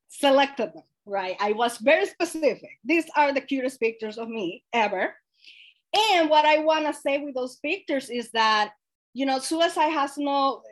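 A woman gives an earnest presentation over a video call. Her voice sits a little high, and her delivery is animated and sincere, with fillers and soft laughs. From Dominican Republic. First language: Spanish. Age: late 20s. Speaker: guest speaker.

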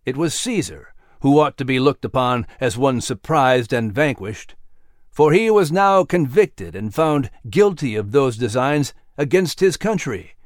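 A man voicing a gentle, grave male scholar of the ancient world, measured and reflective, in an audio story. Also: medium pitch, big pitch swings, dry recording, studio quality. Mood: solemn.

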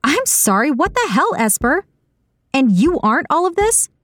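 A woman's voice: fairly high pitch, very animated, measured pace, dry recording, studio quality, voice pushed up. A woman voicing an indignant, uneasy woman in an audiobook, with an incredulous, emphatic and challenging delivery. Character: Saga, indignant hard-drinking woman, uneasy and accusing. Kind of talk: audiobook character dialogue.

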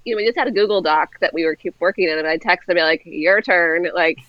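A woman's voice: lowish voice, quick pace, dry recording. A woman guest talking through co-writing in a casual interview over a video call, thoughtful and hedging with fillers. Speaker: guest, co-author. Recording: dull dry video-call audio.

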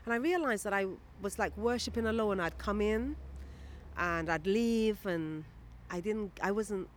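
A woman poet from Guyana, answering interview questions in a thoughtful, halting way full of restarts, outdoors near a church. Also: medium pitch, slight background noise.

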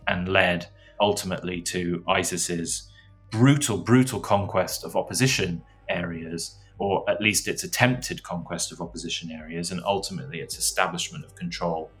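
Male analyst, very low voice, measured and emphatic, faint music underneath. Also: fairly bright tone. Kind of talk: expert commentary in an interview.

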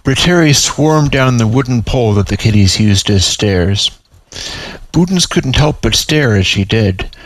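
A man's voice: medium pitch, animated, unhurried, no echo, rough recording. A man narrating a piece of fiction, reading his own story aloud.

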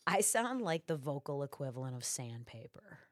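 A middle-aged woman guest on a podcast, a comedian with wry, joking asides, her voice raspy and stuffy from smoky air, recorded in a podcast studio. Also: sits low, sing-song, moderate pace, no room sound. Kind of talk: casual podcast chat.

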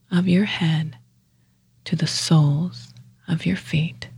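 A woman leading a guided yoga nidra meditation as a teacher, hushed and measured, with a breathy, husky voice that often nears a whisper and pauses between short phrases. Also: low voice, dry recording.

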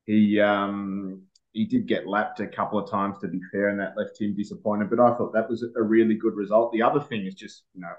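An Australian man speaking as a motorsport editor, relaxed and hesitant with plenty of pauses. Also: lowish voice, muffled recording. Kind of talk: casual motorsport chat.

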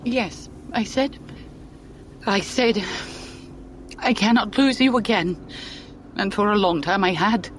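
A woman playing a steady, caring, commanding alien elder in an audio drama, with formal, measured phrasing. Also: medium pitch, a little hiss or hum, natural voice.

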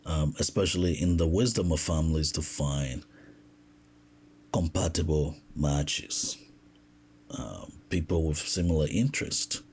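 Deep, slow man. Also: darkish tone, slight background noise. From West Africa. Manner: reflective, unhurried, with frequent pauses and restarts. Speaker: podcast host. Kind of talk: casual podcast monologue.

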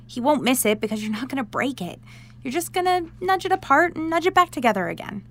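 Animated, bossy teenage science girl. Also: sits high, fast talker, a little hiss or hum. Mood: coaxing, impatient. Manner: sarcastic, exasperated, mock-formal. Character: bossy, excitable teenage girl amateur scientist, best friend. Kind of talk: naturalistic audio drama acting.

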